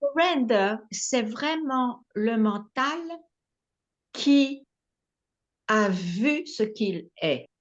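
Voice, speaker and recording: A woman's voice: on the high side, lively intonation, drawn-out words, pauses often, dull audio. A woman spiritual teacher answering questions in a satsang in short, spare phrases. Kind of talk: satsang dialogue.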